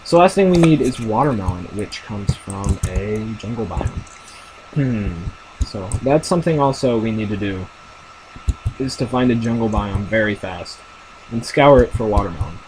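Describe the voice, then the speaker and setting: A young man casually commenting on his own game as he plays, thinking aloud with lots of fillers and a deep voice. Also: expressive, normal speed, background noise. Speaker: gamer narrating his play.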